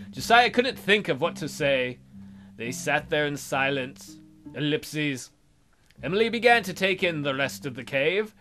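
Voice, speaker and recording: Bright-voiced man narrating a visual novel. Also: a little high. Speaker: let's play host. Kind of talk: reading a visual novel aloud with dramatic narration and joking asides.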